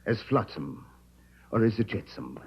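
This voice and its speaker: An actor playing a suave, menacing villain with precise, ornate politeness in an old radio drama. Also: male voice, fairly low pitch, sing-song, unhurried, creaky, slightly rough, exaggerated voice. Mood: silky menace. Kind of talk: 1940s-50s radio drama acting. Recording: muffled old radio recording.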